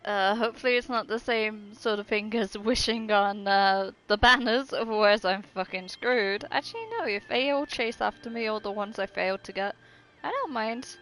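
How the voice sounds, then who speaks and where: A woman's voice: mid-range voice, moderate pace, a bit bright, rough recording. A woman game streamer giving casual, joking live commentary with some swearing.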